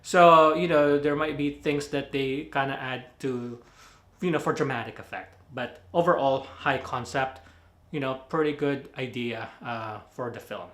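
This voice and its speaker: Filipino-accented male reviewer, loose and chatty, mid-pitched, recorded in a reverberant room with light background music. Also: measured pace, a bit bright. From Philippines. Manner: rambling, many fillers, laughs at own slips. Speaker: movie reviewer. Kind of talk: casual spoken movie review.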